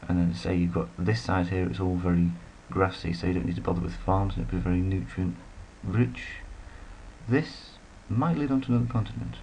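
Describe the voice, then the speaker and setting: Low gravelly man chatting over a game. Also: fairly even intonation, brisk, dark tone, a little hiss or hum, poor audio. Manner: rambling, self-deprecating asides. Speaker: let's play commentator. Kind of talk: casual gameplay commentary.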